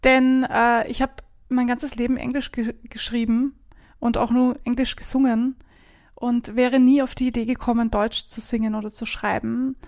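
A woman's voice: on the high side, level tone, moderate pace, darkish tone. A woman chatting casually as an interviewer who is also a singer-songwriter. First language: German. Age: adult, not young teens. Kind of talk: casual conversation.

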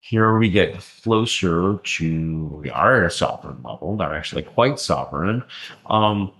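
Man, very low voice, lecturing, explaining, um-filled, restarts sentences. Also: lively intonation, unhurried, fairly bright tone. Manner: lecturing, with frequent ums and self-restarts. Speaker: interview guest. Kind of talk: explanatory conversational talk.

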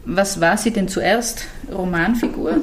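A woman co-hosting a podcast who calmly steers the interview with guiding questions. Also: medium pitch, unhurried, slight background noise. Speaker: podcast co-host. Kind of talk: casual interview.

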